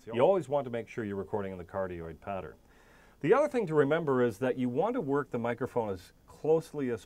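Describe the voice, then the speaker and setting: A man's voice: medium pitch, darkish tone. A man narrating an instructional tutorial, explaining methodically step by step as an instructor.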